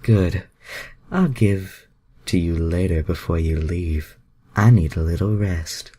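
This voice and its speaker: A man's voice: low-pitched, takes it slowly, mellow, slightly rough, exaggerated voice. A man voicing a bold, flirty woman from superhero fan fiction, with a sultry, pushy manner.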